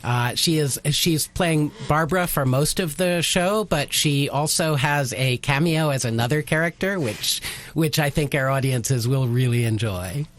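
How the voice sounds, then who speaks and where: A man giving a casual radio interview as a radio theater writer, explaining things in a hesitant, halting way. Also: a little high.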